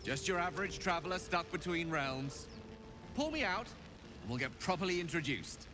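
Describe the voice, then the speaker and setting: A man's voice: fairly high pitch, lively intonation, normal speed, noisy background, natural voice. An actor playing a courteous, wordy middle-aged male wizard in a video game, speaking in formal, theatrical phrasing. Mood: coaxing.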